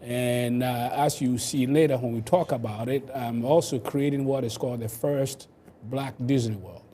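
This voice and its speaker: A man's voice: medium pitch, steady tone, normal speed, mellow. An older man with a Ghanaian accent, explaining his work as an interview guest. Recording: clear background noise.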